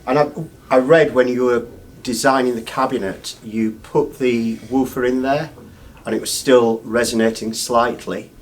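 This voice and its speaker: A man's voice: medium pitch, fairly even intonation, moderate pace. A man interviewing someone in a conversational style, as a reviewer asking questions. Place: busy hi-fi trade show. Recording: clearly noisy trade show ambience.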